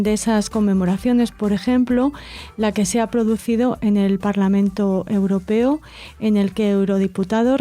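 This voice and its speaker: A woman's voice: medium pitch, dark tone, no echo. A woman presenting the cultural segment on a radio news show, reading steadily with small hesitations.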